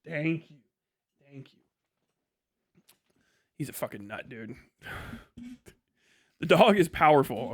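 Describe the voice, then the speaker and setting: High-voiced man on a crude livestream rant. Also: a bit bright, no room sound. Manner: crude, profane, mocking storytelling; laughs often while talking. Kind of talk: casual unscripted livestream commentary.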